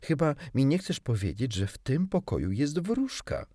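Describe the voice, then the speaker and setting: Man acting a little girl, polite, curious, prim, eager, raised animated pitch, storybook. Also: normal speed, dry recording, studio quality. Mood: excited.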